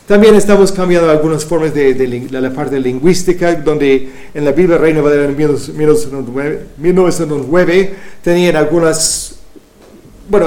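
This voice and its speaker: Non-native spanish speaker, man, mellow voice, keeps restarting, roomy echo. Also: on the high side. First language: not Spanish. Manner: halting, many restarts and fillers. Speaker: Bible translation coordinator. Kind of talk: church presentation.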